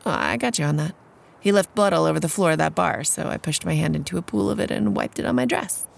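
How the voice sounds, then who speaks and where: An actress playing a surly, sarcastic young vampire initiate in an audio drama, blunt and irritable. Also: female voice, sits low, quick pace, creaky, exaggerated voice. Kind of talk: audio drama acting with first-person narration.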